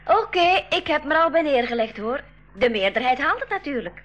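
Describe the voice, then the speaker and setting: A woman playing a spirited but apprehensive young girl, outspoken and expressive, in a school radio play. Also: sits high, a little fast, muffled recording. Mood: grumbling.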